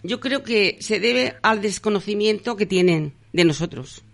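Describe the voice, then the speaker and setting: A woman's voice: mid-range voice, lively intonation, measured pace, gravelly. An older woman hosts a radio interview from the studio. She talks in a hesitant way, full of fillers and restarts. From Andalusia, Spain. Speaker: radio host.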